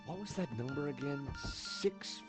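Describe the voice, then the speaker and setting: A man's voice: a little high, expressive, measured pace, music in the background. An actor playing a wry, inquisitive insurance investigator in a video game, conversational in his questioning and narration. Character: wry, inquisitive male insurance investigator, easygoing amateur sleuth.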